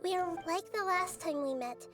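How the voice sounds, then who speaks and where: A woman voicing a gentle, thoughtful, childlike goddess who explains things in a measured way in an anime-style video game. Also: very high-pitched, lively intonation, over music. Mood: apologetic, composed.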